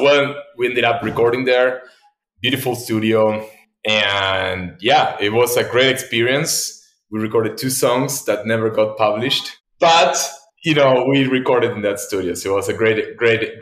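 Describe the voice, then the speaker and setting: Man, Spanish speaker, lowish pitch, casual storytelling, podcast guest, echoey room sound. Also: fairly bright tone. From Argentina. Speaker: podcast guest, tech entrepreneur.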